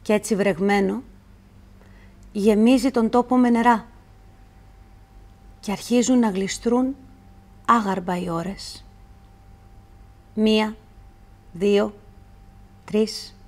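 A woman reciting literary poetry in a measured, deliberate way, with pauses between phrases. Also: mid-range voice, fairly even intonation, a little mellow. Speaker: poetry reader.

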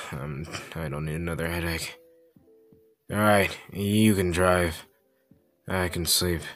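Man playing a slow, low, drawling villain boyfriend. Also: fairly even intonation, a bit bright, faint music behind. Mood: lazy, casual.

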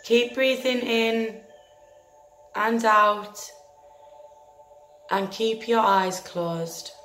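A woman leading a guided meditation in a gentle, soothing, deliberate manner, leaving long pauses between phrases. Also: a little high, fairly even intonation. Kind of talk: guided meditation, scripted narration. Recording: roomy echo with faint music behind.

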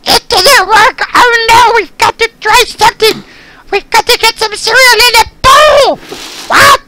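Through loud noise comes an extremely high-pitched little dog. It is excitable, hungry and impatient, pleading in frantic, repeated bursts. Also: measured pace. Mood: desperate, frantic. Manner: frantic, repetitive, pleading exclamations. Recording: noisy recording with loud clicks.

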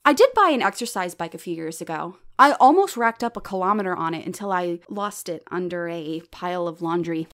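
A woman's voice: on the low side, lively intonation, a little fast, few pauses, fairly bright tone. A woman playing a self-deprecating stand-up comedienne in an audio drama, with a wry delivery built around punchlines. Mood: wry, joking. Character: self-deprecating plus-size female stand-up comedienne named Eleanor.

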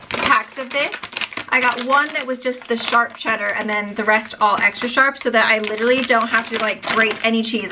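A woman's voice: a little high, background noise. A woman in her thirties chatting casually through a haul in her home kitchen, upbeat and full of "like" and "um". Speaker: lifestyle vlogger.

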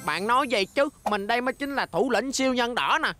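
A woman's voice: a little high, animated, fast talker, lightly acted. A woman voicing a chubby, blustering young boy who acts as a rival superhero leader in a dubbed children's cartoon, indignant and declamatory. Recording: loud music behind the voice.

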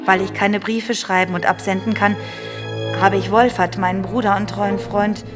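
Audio drama daughter, woman actor: afflicted, desperate, grave and hesitant letter reading, mid pitch, music underneath. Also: fairly even intonation, normal speed, natural voice. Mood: sorrowful, fearful. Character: desperate afflicted daughter writing a plea for help. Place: studio.

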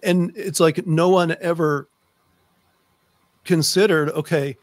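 A man's voice: a little high, steady tone, slow pace, no echo. Middle-aged man, close dry sound. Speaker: host. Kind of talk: conversational interview. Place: book-lined room indoors, on a video call. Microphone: desk microphone close up.

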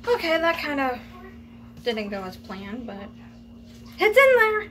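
A teenage girl talks through a recipe in her small home kitchen, her voice high and her pace slow as she hesitates and trails off. She is recorded on a phone sitting on the counter, with clear household noise underneath. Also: sing-song, fairly bright tone. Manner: hesitant, chatty, trailing off mid-sentence. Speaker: home cooking vlogger. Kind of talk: casual cooking demonstration. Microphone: phone camera on the counter. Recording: home video with background noise.

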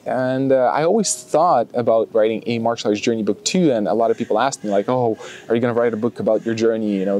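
A man's voice: lowish voice, mellow, a little hiss or hum. A young man with a Lithuanian accent, chatting to the camera outdoors on a grassy field, with lots of fillers and restarts. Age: young adult. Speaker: martial arts vlogger. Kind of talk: casual talk to camera. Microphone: camera close in front.

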